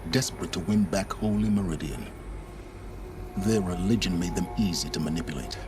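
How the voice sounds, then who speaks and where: An actor playing a learned, calculating older man in a video game, speaking in a measured, self-assured and deliberate way. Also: low-pitched, background noise. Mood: cool, unrepentant. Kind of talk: dramatic video game acting. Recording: music behind the voice.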